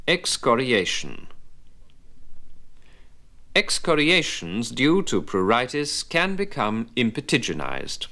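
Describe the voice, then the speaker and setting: Slow, lively-pitched male language instructor. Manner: deliberate, didactic enunciation with terms set apart. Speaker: medical English instructor. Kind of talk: scripted vocabulary lesson narration with repeat-after-me prompts.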